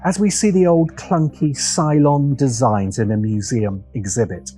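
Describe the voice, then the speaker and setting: A man narrating a scripted video essay as host, in a deliberate, wry, opinionated manner with dramatic pauses and emphasis. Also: mid-range voice, steady tone, over music.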